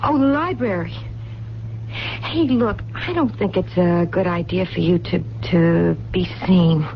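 An actress playing a lovelorn, stubborn, anxious woman in a 1970s radio drama, with halting phrases and repeated words. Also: lowish voice, vocal fry. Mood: eager, protective. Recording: dull, faintly noisy 1970s radio broadcast.

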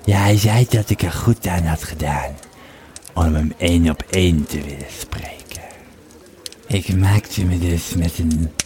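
An actor playing an old, tipsy Roman legionary who haltingly recounts his frightening tale in an audio drama, stammering and losing his thread. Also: low voice, dark tone. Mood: uneasy, recounting. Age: old man as played. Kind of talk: first-person audio drama storytelling.

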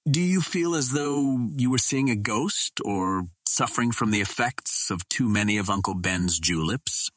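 An ageless soldier hero from an adventure storybook, voiced by a male narrator, cordial yet solemn. The voice is husky and on the low side, with formal, old-fashioned phrasing. It is recorded close and dry. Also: expressive, unhurried, few pauses, fairly bright tone, dry recording. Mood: cordial, teasing.

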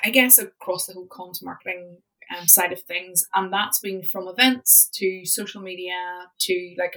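A Northern Irish woman talks casually as a podcast guest, often pausing on "um" and restarting her sentences. Her voice sits fairly low with a gravelly edge. She sounds somewhat far from the microphone. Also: fairly bright tone. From Northern Ireland. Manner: chatty, frequent ums and restarts. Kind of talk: casual interview chat.

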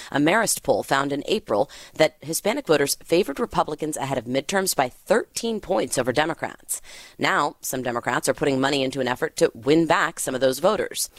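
A woman narrating a scripted news report with a brisk, measured delivery. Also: low voice, no room sound.

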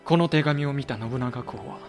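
A man's voice: mid-range voice, moderate pace, darkish tone, soft background music, lightly acted. A man playing a composed, guarded tea master who speaks formally and deferentially in a Japanese period audio drama. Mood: earnest, defensive.

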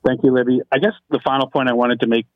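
A man, an author and history teacher, making a measured, earnest point on a radio panel. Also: medium pitch, level tone, dry recording. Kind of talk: panel debate. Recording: phone line.

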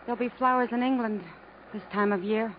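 A woman's voice: on the high side, fairly even intonation, brisk, natural voice. An actress as a wistful, resigned woman, muffled radio. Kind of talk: 1950s radio drama acting. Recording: muffled, noisy 1950s radio broadcast.

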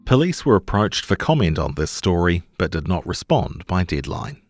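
A man reading a long-form news feature in a measured, articulate scripted narration style. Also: sits low, sing-song, a little mellow, dry recording, studio quality, faint music behind.

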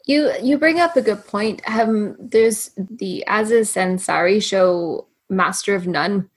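A woman's voice: medium pitch, slow pace, husky. A woman co-hosting a casual news podcast, agreeing and explaining points at length. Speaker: podcast co-host.

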